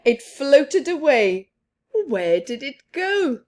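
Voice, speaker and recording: A woman reading a children's picture book aloud, expressive, with soft laughs and whispered asides. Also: high-pitched. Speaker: storyteller.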